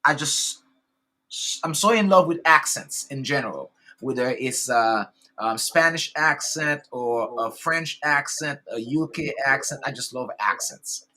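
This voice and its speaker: A man's voice: medium pitch, sharp tone. Eager Haitian-accented male host. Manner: eager, halting, with many restarts. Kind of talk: casual interview.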